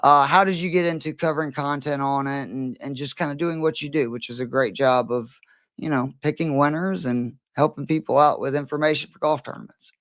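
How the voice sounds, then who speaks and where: A man's voice: a little high, a little fast, a bit bright, no echo. A man hosting a golf betting podcast, chatting casually with joking, profane banter. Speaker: podcast host.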